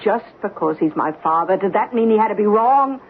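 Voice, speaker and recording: A woman acts a fretful but practical young trucker's wife in a vintage radio drama. She speaks gently and questioningly, through a narrow broadcast sound with faint hiss. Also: mid-range voice, lively intonation, a little fast, lightly acted. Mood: concerned. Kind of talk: old-time radio drama acting.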